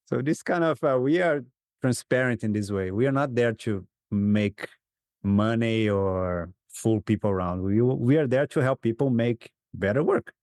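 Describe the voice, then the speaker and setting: A man's voice: mid-range voice, measured pace, darkish tone, no room sound, clean studio sound. A man, a guest facilitator in a conversational interview, earnestly telling stories and acting out his clients' replies, laughing often.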